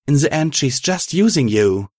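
A man's voice: on the high side, big pitch swings, moderate pace, a little mellow, no echo, studio quality, pitched above the performer's own voice. A man voicing a fiery, indignant female pony in a fantasy storybook reading, with an urgent manner. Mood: livid.

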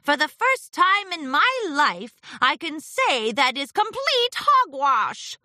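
A woman's voice: sits high, big pitch swings, a little slow, pushed and bright, no echo, clean studio sound, voice pushed up, exaggerated voice. A woman voicing a theatrical, wounded male chaos creature who speaks in a haughty, florid way. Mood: sulky, wounded. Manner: haughty, melodramatic, florid wording.

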